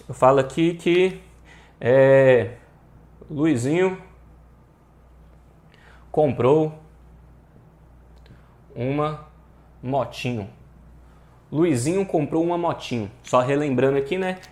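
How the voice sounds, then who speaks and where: Man, Brazilian accent, medium pitch, informal online lesson, colloquial, question-and-answer delivery, echoey room. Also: darkish tone. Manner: colloquial, asks rhetorical questions and answers them. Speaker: Portuguese grammar teacher for exam preparation.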